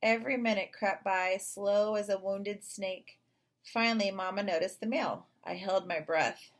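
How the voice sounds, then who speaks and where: A woman narrating a children's story in an expressive read-aloud style. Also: medium pitch, a little slow. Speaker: storybook reader.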